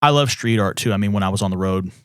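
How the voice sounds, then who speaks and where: A man co-hosting a podcast, chatting casually and reacting quickly. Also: lowish voice, clear, resonant tone, no room sound, clean studio sound. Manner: lively, quick reactions and laughs. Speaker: podcast co-host. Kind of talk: casual chat.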